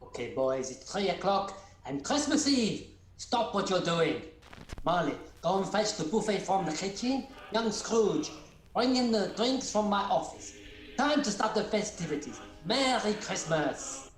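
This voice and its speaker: A man acts a jolly office boss in a radio drama. His voice is high and swoops with good cheer. The recording has a strong echo. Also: very animated, unhurried. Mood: jovial, festive. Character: jolly, generous middle-aged office boss. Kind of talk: amateur radio play acting. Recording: echoey home recording.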